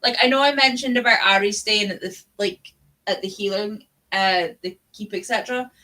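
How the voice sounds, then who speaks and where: A woman with a bright, crisp-edged voice chats casually as a book show host. She talks like a devoted fan, gushing and swearing freely. She sounds like a young adult. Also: mid-range voice, normal speed. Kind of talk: casual chat about books.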